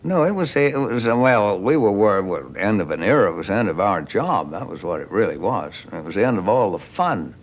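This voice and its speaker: Guy with a low voice that swings around a lot, emphatic, repeats himself, muffled interview. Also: expressive, fairly quick, few pauses. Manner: repetitive, emphatic. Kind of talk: reflective documentary interview. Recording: slightly dull recording.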